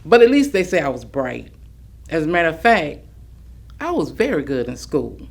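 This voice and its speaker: A woman's voice: low voice, big pitch swings, moderate pace, gravelly, heavily acted. An actress playing a chatty, self-assured elderly Southern woman, cheerfully boasting about childhood in a staged reading. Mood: proud, playful. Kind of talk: staged reading of oral history testimony.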